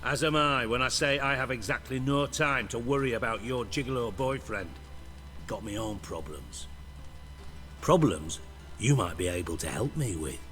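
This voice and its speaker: An actor playing a foul-mouthed, menacing underworld boss in a video game, sarcastic, wordy and theatrical. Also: male voice, medium pitch, moderate pace, fairly bright tone, faint music behind, lightly acted. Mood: mock outrage.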